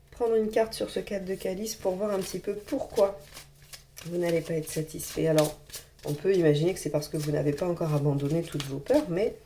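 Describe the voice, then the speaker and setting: A woman with a hoarse voice from a cold, reading tarot cards to viewers in a gentle, reassuring way with many pauses. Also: lowish voice, slight background noise. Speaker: tarot reader.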